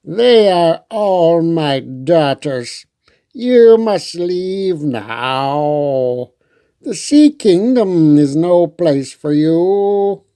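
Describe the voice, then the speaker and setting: A storyteller voicing a slow, imperious octopus sea king. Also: medium pitch, animated, warm tone, clean tone. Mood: stern, dismissive.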